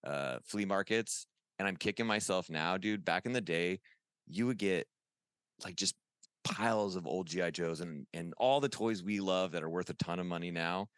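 A man's voice: low voice, normal speed, a bit bright, clean tone, no room sound. A man in his forties chatting casually as a podcast guest, rambling and enthusiastic, often saying "dude". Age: 40s. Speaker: podcast guest, toy artist. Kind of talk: casual chat.

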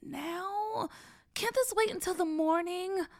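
A woman's voice: high voice, normal speed, fairly bright tone. A woman voicing a hungry, teasing young boy in a story, with slangy, exclamatory speech. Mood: sleepy, grumbling. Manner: slangy, exclamatory, repeats words. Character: hungry young boy, Hala, sleepy then eager, teasing.

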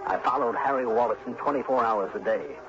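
A man's voice: mid-range voice, lightly acted. An actor playing a shrewd, self-assured male insurance investigator, wry and clipped, in 1960s radio drama style. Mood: tense, deliberate. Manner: wry, clipped, deliberate storytelling. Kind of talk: 1960s radio drama acting, first-person narration. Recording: dull vintage radio recording, loud music behind.

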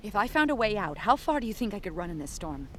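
A woman's voice: mid-range voice, sing-song, quick pace, natural voice. A woman acting in an audio drama as a grim, determined action heroine, terse and grave. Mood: frustrated resolve. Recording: noisy background ambience.

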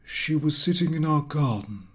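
A man voicing a sorrowful elderly gentleman in an audiobook, speaking in a halting, subdued way. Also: on the high side, lively intonation, close to an everyday voice.

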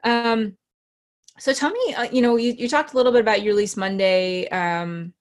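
A woman's voice: on the high side, moderate pace. A woman who hosts a podcast, raised in New Jersey, chatting casually and filling her talk with "you know". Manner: filler-heavy, lots of "you know". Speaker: podcast host.

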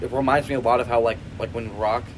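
Young man, podcast co-host, rambly and loose, mid-pitch voice, phone recording with clear background noise. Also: fairly even intonation, quick pace. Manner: rambling, many restarts and fillers. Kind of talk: casual chat. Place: jazz band competition venue. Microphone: phone speaker. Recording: phone recording at a noisy competition.

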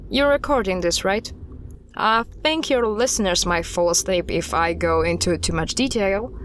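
Woman acting as earnest female history expert, guarded, measured storytelling, audio drama interview, medium pitch, light background noise. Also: natural voice. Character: knowledgeable woman recounting witch-trial history, earnest and guarded.

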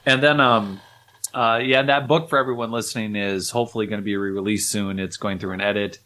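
A man with a slightly low voice, talking in an easygoing, joking way. He co-hosts a podcast and keeps the chat moving with quick asides. His pitch jumps up when he gets animated. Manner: animated, jokey, chatty. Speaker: podcast co-host. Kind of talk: casual chat.

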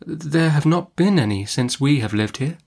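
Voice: medium pitch, expressive, moderate pace, creaky, darkish tone, pitched above the performer's own voice. A narrator voicing a meek young mother-to-be in an audiobook, faltering, faint and innocent.

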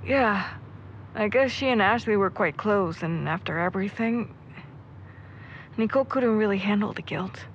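A woman playing a hesitant, awkward, mild-mannered young man in an audio drama, speaking haltingly. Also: mid-range voice, highs cut off, slight background noise, pitched below the performer's own voice, close to an everyday voice.

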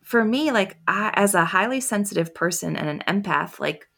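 A woman coaching on confidence as a podcast guest, soft and quiet, taking long pauses between her thoughts. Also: mid-range voice, animated, vocal fry. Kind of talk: reflective interview answers.

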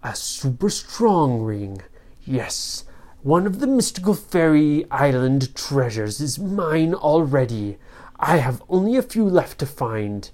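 A woman voicing a tiny, evil male fairy-sorcerer for a children's story, pompous and gloating, with a drawn-out villain delivery. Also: deep voice, big pitch swings, warm tone, exaggerated voice. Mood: boastful.

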